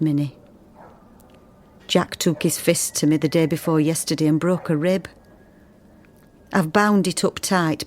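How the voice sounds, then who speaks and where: A woman's voice: deep voice, darkish tone. An actress playing a long-suffering working-class wife and mother of the thirties and forties, confiding in letters with weary plainness. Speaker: actor in radio drama. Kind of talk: dramatised letter reading.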